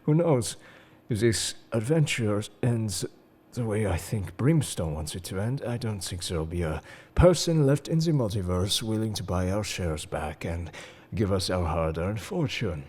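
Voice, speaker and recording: A man playing a suave, enigmatic, quietly world-weary secret agent in an intimate, flirtatious audio roleplay, speaking to the listener in a coaxing, theatrical way. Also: fairly low pitch, expressive, unhurried. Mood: playful, coaxing. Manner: smooth-talking, coaxing, theatrical. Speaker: voice actor in fan audio roleplay.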